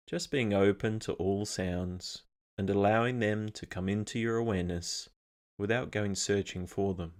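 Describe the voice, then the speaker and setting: Man, very low voice, meditation guide, calm, measured, scripted instruction.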